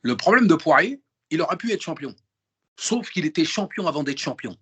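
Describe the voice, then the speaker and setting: A man's voice: on the high side, a little fast, husky. A man as an interview guest, chatting casually and emphatically in slang, with lots of restarts and repeated words. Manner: emphatic and animated, full of restarts and repetition.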